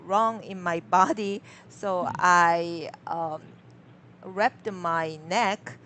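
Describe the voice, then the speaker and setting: A woman with a cold and a sore throat speaks as a learner, slowly and haltingly, breaking off to restart her sentences. She fills the gaps with hesitant sounds. A faint hiss sits under her voice. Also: fairly low pitch, fairly even intonation, sharp tone. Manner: halting, many fillers and restarts. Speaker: English learner. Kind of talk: casual conversation practice.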